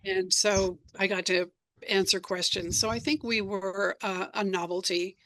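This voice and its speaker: A woman's voice: medium pitch, steady tone, unhurried, fairly bright tone. An American woman being interviewed about her work, telling stories in a conversational way as a radio drama creator. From United States.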